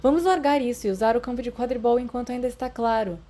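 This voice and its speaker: Woman reading as a cocky teen boy, brazilian, casual slangy teasing, storybook dialogue, mellow tone. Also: fairly high pitch, fast, pitched above the performer's own voice, close to an everyday voice. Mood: grumbling.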